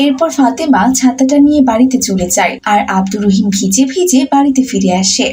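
A woman's voice: a little high, fairly quick, very noisy. A woman narrating a cartoon story in a scripted storytelling style.

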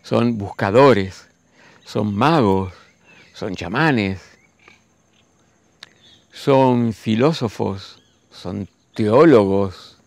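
A man, giving a slow, musing spiritual monologue and speaking straight to his listeners. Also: lowish voice, vocal fry, a little mellow, no room sound. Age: 60s. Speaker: spiritual teacher.

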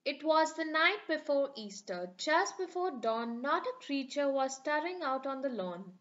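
A woman's voice: high voice, expressive, a little slow, a bit bright, muffled recording. A woman reading a rhyming picture book aloud for children in a sing-song storytelling manner.

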